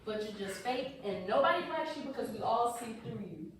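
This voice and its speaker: A woman's voice: a little high, lively intonation, brisk, lightly acted. Defensive young man, played by a woman, very echoey. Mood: indignant. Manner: indignant, cutting. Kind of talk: staged play reading. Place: echoey indoor room, far mic. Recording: distant, echoey room with hiss.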